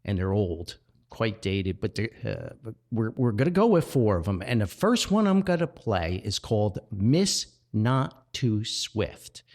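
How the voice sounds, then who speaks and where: A man hosting a personal podcast, talking reflectively and earnestly with drawn-out emphasis. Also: on the low side, sing-song, darkish tone. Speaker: podcast host.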